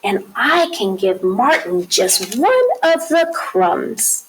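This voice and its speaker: An earnest little storybook boy, sore and boastful, as a woman reads him aloud. The voice is high and very lively, leaning slowly on each emphatic word. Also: background noise. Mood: earnest, longing. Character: small boy, sore and boastful, longing to be bigger.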